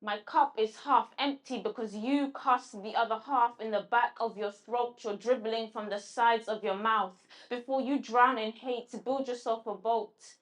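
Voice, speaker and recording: Woman poet, halting and reflective. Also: a little high, steady tone. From London. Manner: halting, searching for words with restarts when answering. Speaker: guest poet. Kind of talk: spoken word recital and interview answers.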